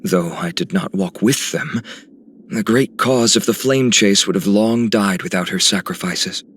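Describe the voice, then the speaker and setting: An actor playing a solemn, noble game hero with a formal, reverent delivery. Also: male voice, on the low side, measured pace, a bit bright, slight background noise. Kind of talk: scripted game character lines.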